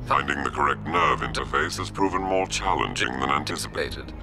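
An actor playing a calm, calculating conspirator in a video game, gravelly and measured. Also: male voice, very deep voice, over music. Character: calm, calculating male conspirator in a shadowy cabal.

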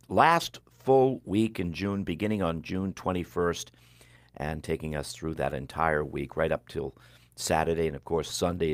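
Deep-voiced older radio host. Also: male voice, no echo. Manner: warm, measured announcer delivery with slow-read phone numbers. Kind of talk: radio broadcast announcements. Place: small broadcast studio. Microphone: headset mic.

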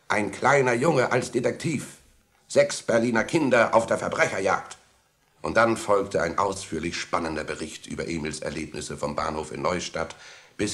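A man narrating a children's audio drama as an expressive storyteller speaking to young listeners. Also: extremely low pitch.

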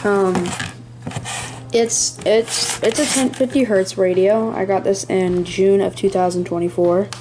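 A hobbyist giving a casual, rambling show-and-tell in a small room at home, speaking haltingly near a handheld camera. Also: medium pitch, fairly even intonation, noisy background. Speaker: hobbyist presenting a radio collection. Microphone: handheld camera close by.

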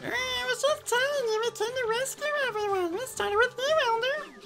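A tiny young male bug creature, pipes up in an extremely high voice. He is earnest, whiny and easily flustered, with loud game music behind him. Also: a little fast, gravelly. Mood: exasperated. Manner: whiny, pleading.

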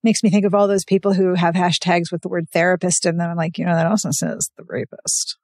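A woman's voice: on the low side, fairly even intonation, fast talker, a little mellow, dry recording, studio quality. A woman hosting a podcast, chatting casually and expressively in an interview. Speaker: podcast host.

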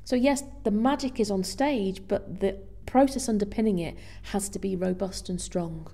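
A woman's voice: mid-range voice, normal speed, gravelly, warm tone. A woman guest speaker explaining her work in a conversational interview. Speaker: guest speaker, theatre finance professional.

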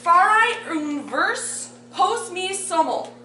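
A woman in her thirties reading aloud from a sheet in a community room, explaining a fake translation in a deadpan, mock-scholarly way. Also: very high voice, slow pace, slight background noise. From Poland. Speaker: bardic performer. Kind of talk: mock translation comedy bit. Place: community meeting room with audience. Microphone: far camera. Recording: echoey room from afar.

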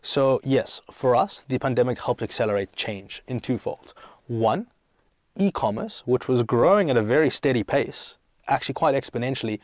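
Young male startup CEO as podcast guest, medium pitch, emphatic explainer, conversational, dry recording. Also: expressive, normal speed, dry recording. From South Africa and Greece. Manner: emphatic, explanatory, poses and answers own questions. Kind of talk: conversational interview answers.

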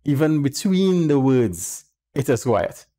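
A man in his thirties, hosting his channel, calmly explaining a microphone noise test to viewers on a headset mic in a small home room. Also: mid-range voice, slow. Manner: plain, explanatory, talks straight to viewers. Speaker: channel host. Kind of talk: casual tech demo talk. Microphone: headset boom mic. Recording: faint background birdsong noise. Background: faint birds chirping.

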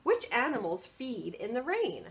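Female children's librarian for a picture-book read-aloud: higher register, highly animated, expressive, prompts little listeners with questions. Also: measured pace.

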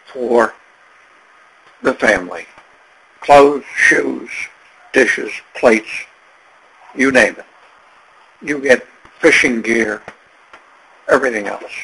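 A man narrating a travel video in a slow, unhurried, plain-spoken voice-over. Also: medium pitch, gravelly, sharp tone, slightly rough, rough recording.

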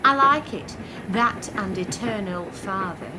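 A woman's voice: animated, unhurried, natural voice. A woman playing a naive, curious young woman, hesitant and questioning, in a radio play. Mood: innocently curious. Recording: train rumble behind the voice.

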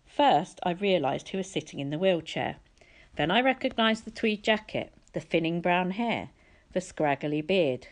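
Woman, audiobook reader, scripted story narration, lowish voice, animated pitch. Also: moderate pace.

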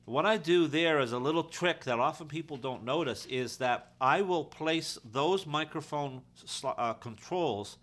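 A man's voice: fairly high pitch, measured pace, few pauses, a bit bright. A man giving an informal, practical how-to explanation in a conversational way, as a technician teaching his trade. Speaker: audio-visual technician and instructor.

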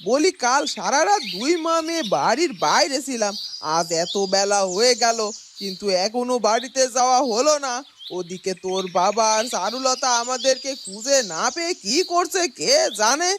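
Cartoon village mother, middle-aged, greedy and sharp-tongued female actor, high voice that swoops, music behind. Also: expressive, moderate pace, noisy background, natural voice. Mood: irritated scolding. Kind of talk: cartoon dubbing.

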